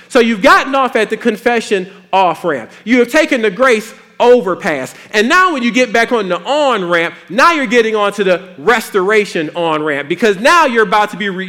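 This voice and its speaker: A man preaching a sermon in a folksy, animated way with playful asides. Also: high-pitched.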